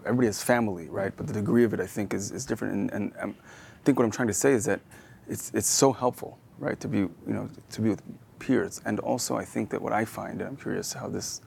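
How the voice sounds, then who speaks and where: Gravelly man, halting and searching. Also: lowish voice, a little mellow, a little hiss or hum. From Israel. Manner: halting, thinks aloud with many fillers and restarts. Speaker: podcast host. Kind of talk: reflective conversational interview.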